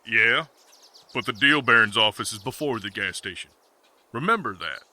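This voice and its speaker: Man acting a forceful, piercing country farmer. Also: fairly low pitch, animated, normal speed. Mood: cautioning. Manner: folksy, cautioning. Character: weary, plain-spoken rural farmer husband and father. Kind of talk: comedy western audio drama.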